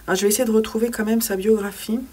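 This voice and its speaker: A woman's voice: mid-range voice, fairly even intonation, rapid-fire, a little mellow, slight background noise. A young woman talking through a poetry book she read, hesitating often with fillers and restarts, and now and then reading passages aloud. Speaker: book vlogger. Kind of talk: casual book review with read-aloud poems.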